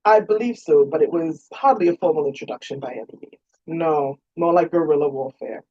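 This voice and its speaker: Woman reading as young male investigator, gallant, wry, understated, mellow, husky. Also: low voice, brisk. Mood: dry humour. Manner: courteous, understated. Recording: roomy, dull lo-fi sound.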